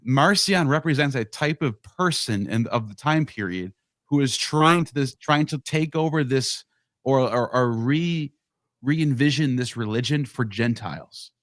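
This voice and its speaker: A man's voice: mid-range voice, unhurried, fairly bright tone, dry recording. A man in a casual podcast discussion, thinking aloud in bursts with frequent restarts. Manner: talks in bursts with many restarts. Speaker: podcast co-host or guest.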